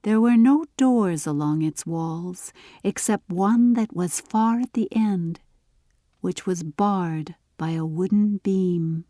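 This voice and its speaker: Slow-paced woman narrating a storybook. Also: on the low side, dark tone, no room sound, clean studio sound. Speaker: audiobook narrator. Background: music.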